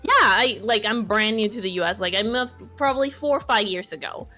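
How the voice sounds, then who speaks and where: A woman's voice: medium pitch, brisk, pushed and bright. Woman, 20s, Mexican Spanish accent, podcast guest, searching for words, faint music behind. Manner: thoughtful, searching for words, many restarts. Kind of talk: casual conversation.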